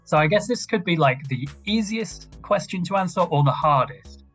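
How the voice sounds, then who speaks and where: A man's voice: high voice, expressive, normal speed, a bit bright, breathy edge, faint music behind. A man interviewing a guest, asking questions in a hesitant way with many restarts.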